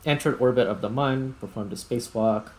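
A man giving casual, unhurried gameplay commentary, thinking aloud as he plays. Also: mid-range voice. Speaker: host, gamer.

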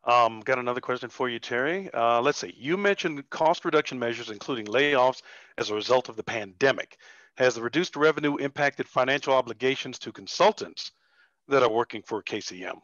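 A man moderating a question session on a video call, asking questions in a relaxed, informal way. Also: mid-range voice, brisk, no echo. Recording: dry muffled video call audio.

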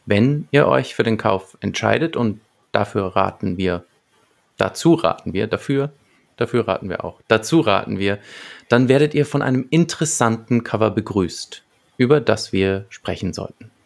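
A man in his thirties with a gravelly voice, presenting prepared material as a podcast co-host. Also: on the low side, animated, moderate pace. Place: small book-lined room at home, evening. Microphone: large desk microphone close up, headphones.

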